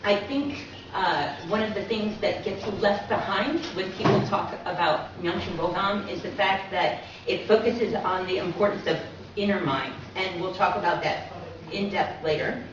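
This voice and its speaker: A woman's voice: mid-range voice, normal speed, a crowd in the background. Woman, public lecturer, explanatory, very echoey room, muffled distant recording. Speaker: lecturer, co-presenter.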